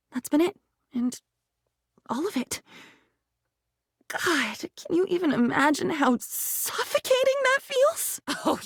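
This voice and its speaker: Living diary, video game character, high feminine voice, wildly animated, theatrical, wounded, unstable, bitingly sarcastic. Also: female voice, no room sound, studio quality, exaggerated voice. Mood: bitter, wounded, then taunting, theatrical. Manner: dramatic, whimsical, bitingly sarcastic, swinging between confiding and outburst.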